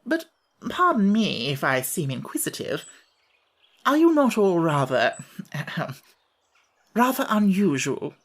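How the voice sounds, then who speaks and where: A woman's voice: fairly low pitch, big pitch swings, slow pace, long pauses, pitched above the performer's own voice, exaggerated voice. A woman voicing a pompous, overly polite male insect who fancies himself a scholar, speaking in elaborate phrases.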